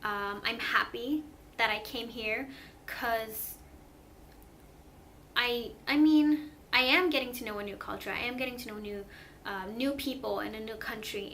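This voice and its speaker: A woman's voice: mid-range voice, a bit bright, a little hiss or hum. A young woman from Sweden, a native Swedish speaker, talking casually to her camera in an earnest, hesitant way, with many fillers and restarts. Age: young adult. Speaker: vlogger. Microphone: camera mic.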